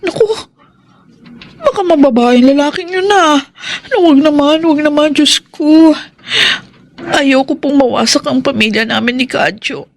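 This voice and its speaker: A woman's voice: high-pitched, moderate pace. A woman playing an anxious, guilt-ridden wife in a Filipino radio drama, with a fretful, exclamatory delivery. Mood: panicky.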